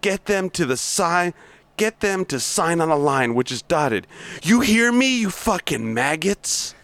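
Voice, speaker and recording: A man's voice: on the high side, big pitch swings, unhurried, a bit bright, no room sound, pitched above the performer's own voice. A man reading aloud as a brash, abusive male sales boss, berating his staff and swearing, at times stumbling over the script. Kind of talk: amateur reading of a scene.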